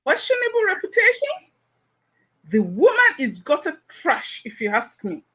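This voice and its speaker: A haughty biblical-era gossip played by a woman in an audio drama. Her high voice swoops widely as she passes condescending, disapproving judgment. The sound is slightly dull and lo-fi. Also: huge pitch swings, moderate pace, pushed and bright, exaggerated voice. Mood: scornful. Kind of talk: audio play acting.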